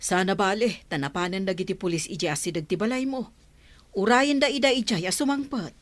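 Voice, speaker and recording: A woman's voice: medium pitch, a little fast, no room sound, lightly acted. Woman acting a firm, protective aunt in drama. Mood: concerned, indignant. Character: stern, protective aunt taking charge against wrongdoers. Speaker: actress in an audio drama. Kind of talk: Ilocano radio drama acting.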